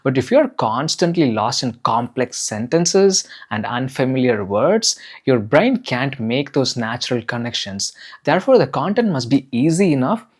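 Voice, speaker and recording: Man with a husky voice. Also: mid-range voice, big pitch swings, normal speed. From India. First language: Tamil. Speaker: English-learning channel host. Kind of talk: scripted talk to camera.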